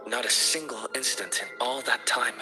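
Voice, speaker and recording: An actor playing a thoughtful, courteous academy researcher in a video game, explaining things in an articulate, deliberate way. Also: male voice, mid-range voice, over music, close to an everyday voice. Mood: grave, explanatory. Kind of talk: scripted video game exposition.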